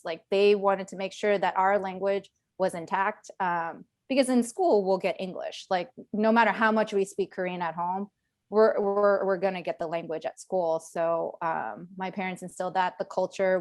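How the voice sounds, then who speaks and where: A woman's voice: medium pitch, dry recording. A woman veteran speaking on a video call in a casual webinar panel, chatty with many fillers and restarts. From Northern Virginia, USA.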